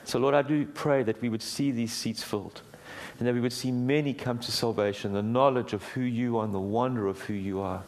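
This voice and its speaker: A middle-aged man delivers a sermon with a booming voice, earnest and conversational. He circles back and restarts phrases as he speaks, his voice at an ordinary middle pitch for a man. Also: fairly even intonation, a little fast, hardly any pauses, darkish tone. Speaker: guest preacher. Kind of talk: sermon with prayer. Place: church hall.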